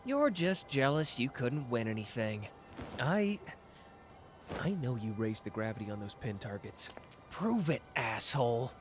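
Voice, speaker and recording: A man's voice: mid-range voice, lively intonation, a little slow, soft background music, lightly acted. A man voicing a short-tempered but protective young man who talks curtly, in an ASMR roleplay. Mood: irritated. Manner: gruff and curt, brusque.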